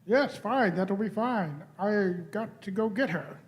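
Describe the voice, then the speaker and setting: High-voiced, flustered young man, played by an actor. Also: lively intonation, slow pace. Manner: stammering, improvising politely. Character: flustered young man bluffing as a police officer. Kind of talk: live radio-play acting.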